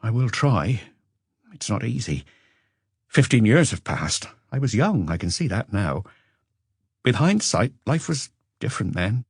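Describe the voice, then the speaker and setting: A man voicing an educated Frenchman who looks back on a passionate marriage with regret, in a measured, confessional audiobook reading. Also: mid-range voice, very animated, breathy edge, no room sound, studio quality, pitched above the performer's own voice, exaggerated voice. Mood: reflective, candid. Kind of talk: audiobook character dialogue.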